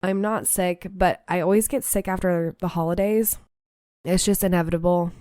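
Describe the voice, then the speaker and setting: Woman, podcast host, slightly nasal, lowish voice, dry close mic. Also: steady tone, moderate pace, warm tone, no echo. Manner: laughs easily, chatty and upbeat. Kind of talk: casual podcast chat and reading aloud.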